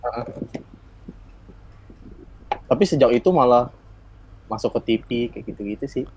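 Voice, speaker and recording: A man's voice: medium pitch, moderate pace, darkish tone, a little hiss or hum. A man with a Betawi Jakarta accent chatting casually and slangily on a podcast.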